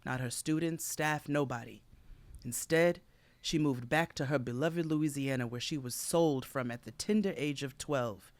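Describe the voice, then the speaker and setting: A man's voice: medium pitch, normal speed, dry recording. A man co-hosting a podcast, telling a made-up history tale in a mock-solemn comic way. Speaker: podcast co-host. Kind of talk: comic tall-tale storytelling.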